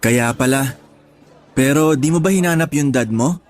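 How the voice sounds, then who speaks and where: A man's voice: medium pitch, moderate pace, natural voice. A Filipino man playing a shy, smitten young man on a first date in a radio drama, teasing and casual. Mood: curious, casual. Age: young adult.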